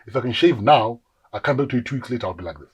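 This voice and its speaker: South african guy in his 40s, husky voice, tells stories with lots of voices, chatty interview. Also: mid-range voice, racing. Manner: animated storyteller, acts out quoted voices. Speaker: actor guest. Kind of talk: casual interview storytelling.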